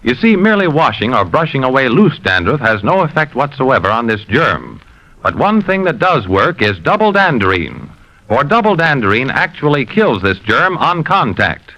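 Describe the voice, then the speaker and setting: Bright, emphatic American radio pitchman. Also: sing-song, normal speed, lo-fi sound. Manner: emphatic, persuasive, formal. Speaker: commercial announcer. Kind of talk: 1950s radio advertising pitch.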